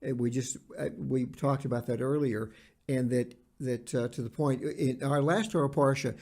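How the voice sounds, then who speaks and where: A man co-hosting a podcast, talking in an earnest, halting way and repeating words for emphasis. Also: mid-range voice, steady tone, darkish tone. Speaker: podcast co-host. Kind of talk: conversational podcast discussion.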